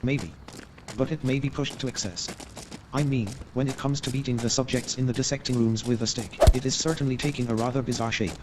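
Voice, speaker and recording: An actor playing a courteous, gossipy Victorian gentleman in a staged radio play, formal and measured in his hesitant explanations. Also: medium pitch, steady tone, background noise, natural voice. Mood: uneasy, explanatory.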